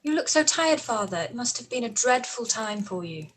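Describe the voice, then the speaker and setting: A woman acts a devoted young gentlewoman in a radio play. Her voice is high and lively with a husky edge, earnest and full of concern. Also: normal speed. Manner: concerned, questioning. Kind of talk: radio play live read.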